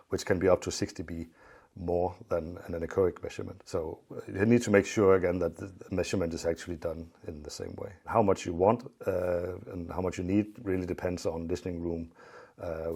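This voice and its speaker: Low, dark-voiced man explaining technical matters. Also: breathy edge. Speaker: loudspeaker company expert.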